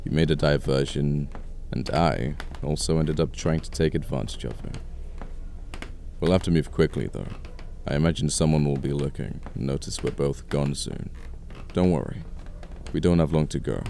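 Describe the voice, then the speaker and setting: A man acting as an amoral but apologetic, flirty murderer in an ASMR audio roleplay, speaking with unhurried, polite menace. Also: extremely low pitch, creaky, warm tone, slight background noise. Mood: wry menace.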